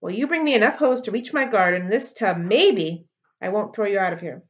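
A woman voicing a bossy, blunt young girl who scolds loudly, in a dull webcam recording. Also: mid-range voice, animated, fairly quick, close to an everyday voice.

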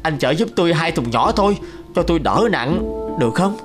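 A man's voice: a little high, animated, a little fast, over music, natural voice. An actor voicing an exhausted, overburdened male donkey who pleads wearily in a cartoon fable. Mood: weary, pleading. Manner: pleading, weary.

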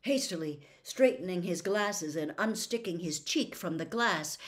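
A woman in her sixties reading a novel aloud as a storyteller, with dramatic, deliberate phrasing. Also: fairly low pitch. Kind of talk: expressive audiobook-style reading of a novel. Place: small furnished room at home.

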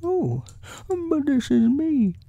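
A storyteller voicing a gentle, dim, good-natured male toy bear from a children's storybook, with a musing, bumbling manner. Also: high-pitched, big pitch swings, slow pace, vocal fry, no echo, muffled recording, pitched above the performer's own voice, exaggerated voice. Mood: surprised, earnest. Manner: musing, bumbling, sing-song humming.

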